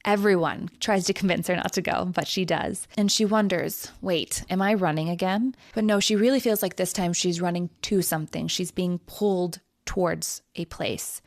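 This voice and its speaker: A woman's voice: lowish voice, moderate pace, few pauses, no room sound, clean studio sound. A woman hosting a podcast, retelling a memoir in casual chat and quoting its passages aloud. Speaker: podcast host. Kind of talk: casual book discussion.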